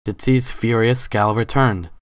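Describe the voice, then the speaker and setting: A man's voice: medium pitch, measured pace. A man narrating a scripted story as a dramatic reading.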